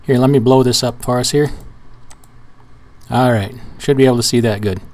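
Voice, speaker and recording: A man with a hoarse, weak voice walking viewers through filling out a form on screen, in a casual, rambling way with restarts and fillers. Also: medium pitch, normal speed, a little mellow. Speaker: trucking channel host. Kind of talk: screen-share tutorial walkthrough.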